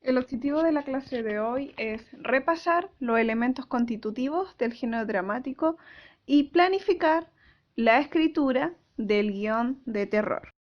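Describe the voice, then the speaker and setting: A woman's voice: high-pitched, animated, a little slow. A woman school teacher giving a recorded class lesson, explaining carefully and didactically. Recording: dull lo-fi audio.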